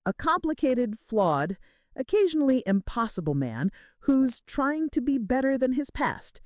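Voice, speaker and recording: Romance audiobook heroine played by a woman narrator: young assistant, loyal, firm, earnest, expressive pitch. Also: a little slow, no room sound, clean studio sound. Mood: firm, tender. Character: devoted, firm young woman assistant reassuring her dangerous lover.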